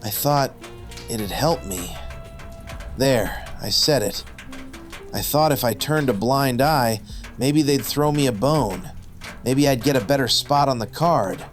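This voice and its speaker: An actor playing a middle-aged, washed-up wrestler, bitter, defensive and self-loathing, in a noir radio drama. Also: male voice, medium pitch, takes it slowly, pauses often, soft background music, lightly acted. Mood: ashamed, weary.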